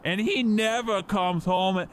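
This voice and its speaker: A man's voice: sits high, slow pace, fairly bright tone, slight background noise. A man voicing a nagging, doting working-class mother in a comic old-time radio play. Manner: chiding, matter-of-fact.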